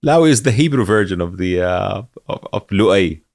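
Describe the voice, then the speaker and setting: Deep-voiced man, hesitant. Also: expressive, normal speed, gravelly, darkish tone. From Saudi Arabia. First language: Arabic. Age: 40s. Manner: hesitant, many fillers and restarts, laughs easily. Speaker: podcast guest. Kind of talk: conversational interview.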